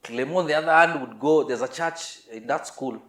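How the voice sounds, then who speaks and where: A Kenyan-accented man telling a story casually and haltingly, repeating himself and slipping in Swahili words. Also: a little high, fairly bright tone. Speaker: musician, interview guest. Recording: roomy, echoing sound.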